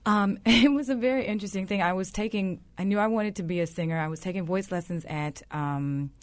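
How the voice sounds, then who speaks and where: A woman's voice: mid-range voice, a little fast. A woman, an opera singer, talking about how she got into opera in a casual, laughing way on a panel. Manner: warm laughing storytelling.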